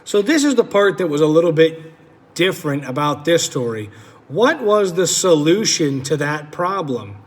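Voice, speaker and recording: A man teacher reading a picture book aloud to children with animated storytelling, then asking them questions about it. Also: fairly high pitch, moderate pace. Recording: echoey room with long reverb.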